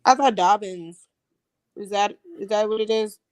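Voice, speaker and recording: A woman's voice: medium pitch, normal speed, clean tone, no room sound. Woman, mid-range voice, informal podcast reactions, dry room-free sound. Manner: informal, short reactive remarks. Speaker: podcast speaker. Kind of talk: casual chat.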